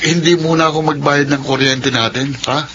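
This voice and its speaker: An actor playing a cheeky, eager young son in a radio drama. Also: male voice, fairly high pitch, fairly even intonation, moderate pace, lightly acted. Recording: dull, noisy radio drama recording.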